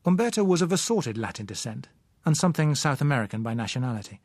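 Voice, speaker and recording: A British man narrates a novel in a medium-pitched voice. His delivery is measured and literary, with a dry wryness. The recording is very dry and free of room sound. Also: sing-song, darkish tone, no room sound. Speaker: audiobook narrator.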